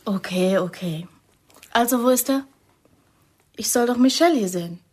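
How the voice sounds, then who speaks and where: High-voiced defiant young woman. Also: moderate pace, darkish tone, slightly rough. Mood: tense. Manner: blunt, challenging, emotional. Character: defiant, wounded young woman recruited as an agent. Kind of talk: radio drama acting.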